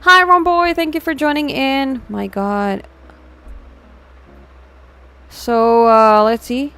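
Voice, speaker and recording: A woman with a fairly high voice and an Indian accent hosts a casual livestream. She talks informally, reacting and chatting to viewers, and mixes Hindi into her English as she goes. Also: steady tone, slow, bright, forceful tone, clean tone. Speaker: VTuber livestream host.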